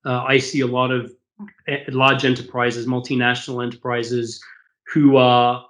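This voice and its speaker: A man answering interview questions as a corporate executive, conversational and explanatory, with measured business phrasing. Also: medium pitch, flat delivery, fairly bright tone. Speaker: corporate shared services executive, interview guest.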